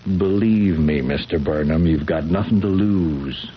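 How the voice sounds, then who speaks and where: A skeptical sheriff in a vintage radio mystery, with a very low voice. He questions patiently in a folksy, measured way. The sound is muffled, with faint noise. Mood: coaxing, measured. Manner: probing, measured, folksy, hesitant. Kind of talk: old-time radio drama acting. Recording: dull, noisy old radio broadcast recording.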